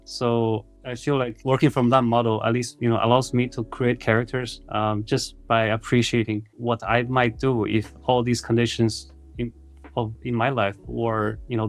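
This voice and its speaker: Man chatting reflectively, music behind. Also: mid-range voice. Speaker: indie game developer and writer being interviewed. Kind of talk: casual interview chat.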